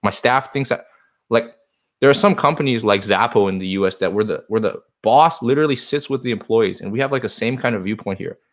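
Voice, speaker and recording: A man's voice: fairly low pitch, brisk. A man whose first language is Chinese, owner of a card shop, talking earnestly on a live stream and answering viewer comments in a rambling way, often repeating himself.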